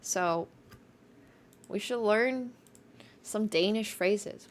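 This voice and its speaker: A woman's voice: medium pitch, slight background noise. A young woman from Finland with a light American accent, Mandarin her first language, chatting casually with her stream viewers in a slightly nasal voice. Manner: chatty, quick asides, laughs often. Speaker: live streamer.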